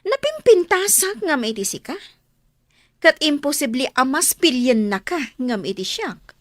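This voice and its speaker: An actress playing a pleading, jealous, sharp-tongued wife and mother in an Ilocano radio drama, with an Ilocano accent and a melodramatic delivery. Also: high-pitched, big pitch swings, measured pace, dry recording, studio quality, exaggerated voice. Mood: scornful, jealous.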